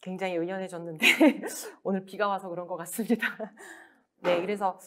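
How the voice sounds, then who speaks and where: A woman author giving a book talk, speaking in a soft, measured way with many pauses and fillers. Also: mid-range voice, steady tone.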